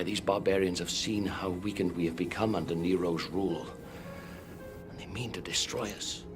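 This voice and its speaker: An actor playing a grave, resolute older Roman general in a video game cutscene, solemn and commanding. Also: male voice, sits low. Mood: ominous, resolute. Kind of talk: cinematic video game acting.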